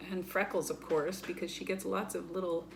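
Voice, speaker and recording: Woman with creaky voice, chatting to children. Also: lowish voice, steady tone, normal speed, poor audio. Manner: playful, explains simply, chatty. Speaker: children's story-time presenter. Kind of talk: casual show-and-tell for children.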